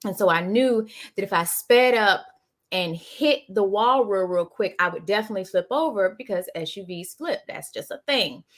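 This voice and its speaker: A woman's voice: mid-range voice, animated, moderate pace, a bit bright. A woman hosting a podcast, telling a personal story candidly in casual chat. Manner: candid, emotional.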